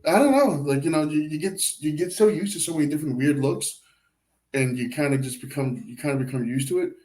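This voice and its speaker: A man chatting casually as a podcast guest, relaxed, with stammers and "you know what I mean". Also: a little high, fast talker. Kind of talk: casual chat.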